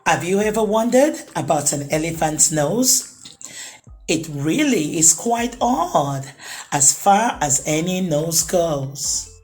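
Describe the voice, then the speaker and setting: A woman narrating a children's storybook as a storytime host, with expressive, deliberate phrasing for young listeners. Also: fairly low pitch, soft background music. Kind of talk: children's storybook read-aloud, rhyming narration.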